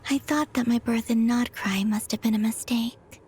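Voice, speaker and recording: A woman voicing a gentle, wistful young moon goddess in a game cutscene, speaking softly and sincerely in a measured, breathy way. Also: on the high side, fairly even intonation. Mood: wistful, tender.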